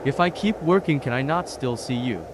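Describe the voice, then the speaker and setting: A man's voice: mid-range voice, expressive, normal speed, natural voice. Man as earnest teenage boy, noisy background. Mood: earnest, puzzled. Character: earnest sixteen-year-old boy devil hunter, blunt and naive. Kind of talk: fan voice dub of manga. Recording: noisy track with street ambience.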